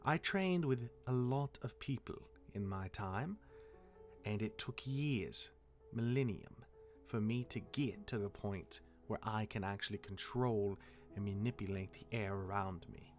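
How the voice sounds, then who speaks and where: An actor playing a patient, chatty demigod elder brother and mentor in a fantasy audio drama, instructive and conversational, with music behind him. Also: male voice, lowish voice, measured pace, lightly acted. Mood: earnest teaching. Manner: conversational, instructive, hesitant asides.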